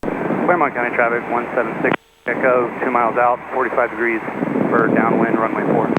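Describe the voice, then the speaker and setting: A man's voice: mid-range voice, level tone, a little fast. A man speaking as a pilot, making procedural traffic position calls over aviation radio. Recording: dull, very noisy aircraft radio.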